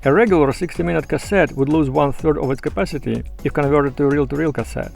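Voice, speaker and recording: Man, creaky gravelly voice, mid-range pitch, scripted YouTube narration, measured and explanatory, faint music behind. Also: a little mellow. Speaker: YouTube presenter. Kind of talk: scripted video essay narration.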